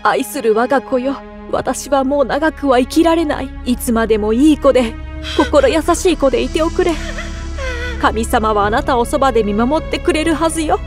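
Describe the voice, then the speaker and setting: A woman voicing a gentle mother on her deathbed in a storybook tale, speaking softly and tenderly. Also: high voice, normal speed, close to an everyday voice. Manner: soft, tender farewell. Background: music.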